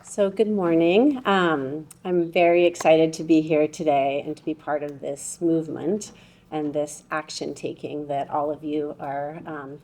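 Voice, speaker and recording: A woman moderating a conference panel, opening with loose remarks full of ums before introducing the first speaker. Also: lowish voice. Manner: warm-up remarks with frequent ums.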